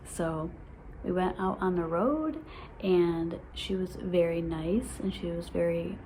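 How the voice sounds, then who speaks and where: A woman in her twenties who has a stutter, excitedly chatting to her viewers in a casual vlog. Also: fairly low pitch, slow pace, a little hiss or hum, low-quality recording. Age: 20s. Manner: stutters, with repeated sounds and restarts; excited, laughs often. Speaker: vlogger.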